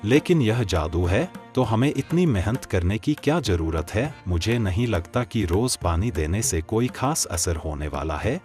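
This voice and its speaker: A male actor as a lazy, skeptical farmer in a cartoon fable. The voice is low and swings expressively, with light music behind it. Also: expressive, measured pace, hardly any pauses, darkish tone. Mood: doubtful. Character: lazy, doubting village farmer, easygoing. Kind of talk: Hindi moral-story cartoon dialogue. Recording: music under the voice.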